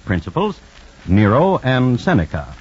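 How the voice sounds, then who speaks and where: An actor playing a wry, erudite storyteller, hosting and narrating an old radio crime drama in a dry, measured manner. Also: male voice, medium pitch, wildly swooping pitch, a little mellow, husky, exaggerated voice. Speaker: host and narrator. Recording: muffled old radio recording.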